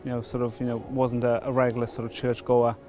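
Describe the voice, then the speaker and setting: Welsh man, middle-aged, even tone, hesitant testimony, soft music underneath. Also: medium pitch, fast. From Wales. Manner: hesitant, many fillers and restarts. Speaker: church member before baptism. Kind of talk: testimony interview.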